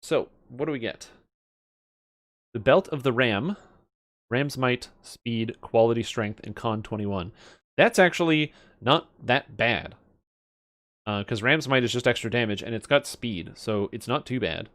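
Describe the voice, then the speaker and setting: Casual guy thinking out loud on stream. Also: medium pitch, a little slow. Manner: muttering through item stats, profane. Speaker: game streamer. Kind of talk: casual live stream commentary, thinking aloud.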